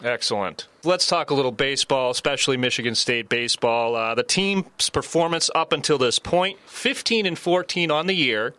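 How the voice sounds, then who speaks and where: A man's voice: medium pitch, normal speed, few pauses, pushed and bright, dry recording. A man hosting a sports talk show, asking questions in a casual interview style.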